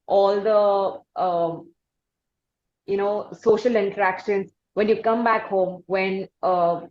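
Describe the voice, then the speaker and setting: A woman with an Indian accent hosting an interview conversationally, building her sentences in a halting, wordy way. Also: mid-range voice, fairly even intonation, darkish tone. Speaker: interviewer, host. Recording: roomy, muffled lo-fi sound.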